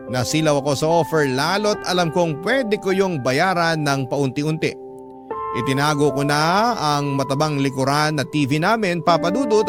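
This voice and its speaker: A Filipino man with a Filipino accent, reading a listener's story in the first person as a dramatic radio storyteller. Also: on the high side. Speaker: storyteller and host of a radio love-story program. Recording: loud music bed under the voice. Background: loud background music.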